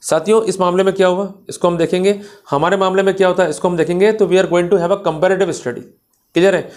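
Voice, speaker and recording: A man's voice: high voice, fairly even intonation, brisk, a little mellow. A man with an Indian accent lecturing on law in a didactic way, asking rhetorical questions and answering them as he explains. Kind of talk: explanatory lecture to viewers, mixing Hindi with English legal terms.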